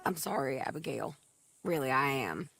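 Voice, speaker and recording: A gravelly frontier bounty hunter. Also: female voice, a bass voice, animated, moderate pace, fairly bright tone, slight background noise, exaggerated voice. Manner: blunt, matter-of-fact, plain-spoken. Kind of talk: video game cutscene acting.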